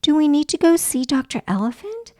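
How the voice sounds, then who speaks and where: An eager little girl pig from a children's storybook, voiced by a woman reader. The voice is high and swoops with excitement, curious and wide-eyed, in a close, dry recording. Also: fairly quick, no room sound. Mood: startled, curious. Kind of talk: children's storybook read-aloud.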